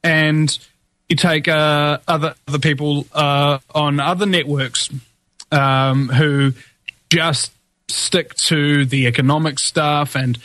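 Male radio host, higher-pitched whiny voice, candid meandering monologue, dry booth sound. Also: fairly even intonation, takes it slowly, a bit bright, no room sound. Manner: candid, rambling, self-critical. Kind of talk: solo talk-radio monologue.

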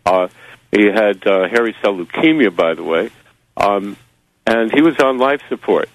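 Halting New man on radio. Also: on the low side, expressive, poor audio. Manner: rambling, halting, many fillers. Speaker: radio guest. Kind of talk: radio interview monologue.

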